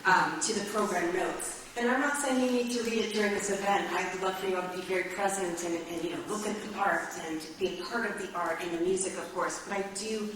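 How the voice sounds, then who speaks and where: A woman hosting as artistic director, giving an informal, earnest and somewhat halting welcome speech to a live concert audience. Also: mid-range voice, steady tone, a bit bright. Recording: very echoey hall, distant mic, faint noise.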